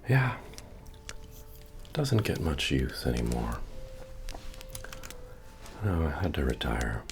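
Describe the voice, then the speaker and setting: Super deep slow guy acting as a friendly medieval innkeeper, soft asmr murmur, bard music behind him. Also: sing-song, exaggerated voice. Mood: wistful, modest. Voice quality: deep, gravelly, often breathy or whispered. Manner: unhurried, folksy, hesitant murmuring. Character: friendly, humble medieval innkeeper, retired adventurer, chatty. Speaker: ASMR roleplayer. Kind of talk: soft-spoken ASMR fantasy roleplay. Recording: music under the voice.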